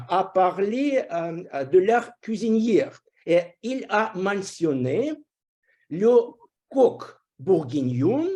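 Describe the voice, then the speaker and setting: Halting high-voiced man with foreign accent. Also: mellow. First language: not French. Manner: halting, hesitant delivery with constant filler sounds. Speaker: grammarian giving a report at a speaking club. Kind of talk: prepared spoken evaluation.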